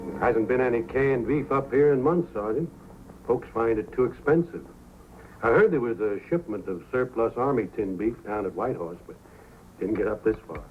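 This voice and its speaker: An actor playing an affable, chatty small-town storekeeper with a folksy manner in old-time radio drama style. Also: male voice, mid-range voice, normal speed, natural voice. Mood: helpful. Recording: tinny, noisy old radio recording.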